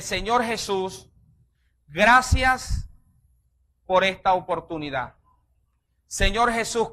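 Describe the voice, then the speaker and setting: A man's voice: sits high, sharp tone. A male pastor leading his congregation in an altar call and prayer, fervent and pleading. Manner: fervent, leads the church in repeated prayer.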